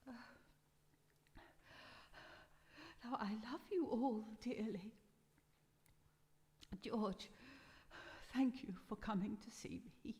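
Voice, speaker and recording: A woman playing a frail but firm dying old lady in a live radio drama, halting and stately. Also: a little high, darkish tone. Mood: gracious, weary. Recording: reverberant hall, live stage performance.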